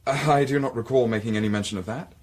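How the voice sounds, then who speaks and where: An actor playing a polite, smooth-talking young Victorian scholar in a radio drama. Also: male voice, medium pitch, fast talker, darkish tone, close to an everyday voice. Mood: smooth, conspiratorial.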